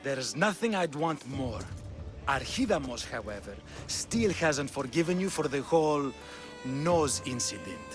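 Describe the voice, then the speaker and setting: A man's voice: mid-range voice, sing-song, a little slow. An actor playing a sardonic, condescending Spartan king with theatrical delivery in a dramatic video game. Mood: persuasive. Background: game music.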